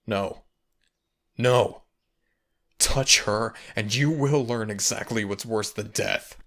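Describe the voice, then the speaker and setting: A man voicing a possessive, protective male fox spirit in an intimate ASMR audio roleplay, brooding and gravelly, with old-fashioned formal speech. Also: fairly low pitch, takes it slowly, fairly bright tone. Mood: menacing, contemptuous. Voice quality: gravelly, breathy at times.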